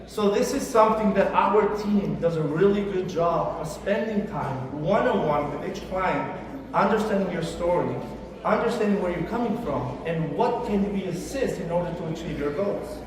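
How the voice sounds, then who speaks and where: A man, a financial advisor, earnestly listing his team's services to a conference audience. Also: high-pitched. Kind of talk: conference sales pitch. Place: large conference hall. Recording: echoey hall, distant mic, crowd noise. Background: crowd chatter.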